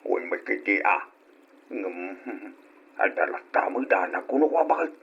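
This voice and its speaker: A man playing a caring, worried old grandfather in a radio drama, with a husky voice. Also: low voice, lively intonation, unhurried, fairly bright tone, exaggerated voice. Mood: mild concern. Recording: dull lo-fi radio drama recording.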